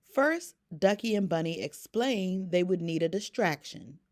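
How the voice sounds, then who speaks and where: Woman, bedtime story reader, deliberate slow pacing, lively intonation, expressive. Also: fairly low pitch. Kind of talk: read-aloud bedtime storytelling.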